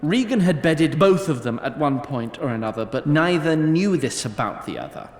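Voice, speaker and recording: A man's voice: a little high, sing-song, moderate pace, echoey room. A man playing a haughty immortal storyteller, narrating a fantasy audio drama in a droll, formal, arch manner. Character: wry, lofty immortal storyteller, haughty toward mortals.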